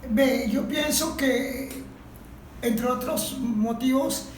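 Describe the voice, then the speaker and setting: Slow-spoken Venezuelan woman in echoey room. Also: sits high. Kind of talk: spontaneous political discussion. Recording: echoey room, faint background noise.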